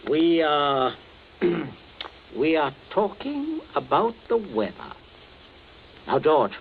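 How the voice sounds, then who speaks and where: A man's voice: fairly high pitch, big pitch swings, extremely slow, vocal fry, a little hiss or hum, full character voice. An actor playing a stern, domineering middle-aged family man in a 1940s radio drama. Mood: scolding. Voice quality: stern, commanding. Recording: lo-fi old radio broadcast.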